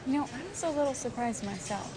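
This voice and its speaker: An actress playing a food judge who speaks in a playful, teasing way. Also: female voice, high voice, animated, fast, crowd noise, rough recording. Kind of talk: film dialogue. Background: background music.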